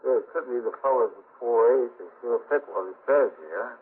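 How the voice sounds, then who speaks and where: A thin, lo-fi old radio broadcast carries an easygoing hotel clerk who is hard of hearing. His very husky male voice hesitates, rambles and repeats itself in 1950s radio drama fashion. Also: mid-range voice, a little fast. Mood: chatty, confiding. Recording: tinny, muffled old radio broadcast recording.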